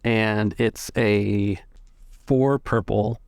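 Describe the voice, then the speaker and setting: A man's voice: fairly low pitch, fairly even intonation, very slow, creaky, darkish tone, no echo, studio quality. A man narrating scenes as game master of a tabletop roleplaying game. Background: music.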